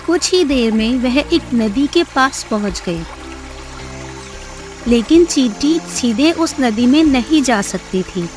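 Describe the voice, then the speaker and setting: High-voiced woman narrating a children's story. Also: lively intonation, takes it slowly, darkish tone, soft background music.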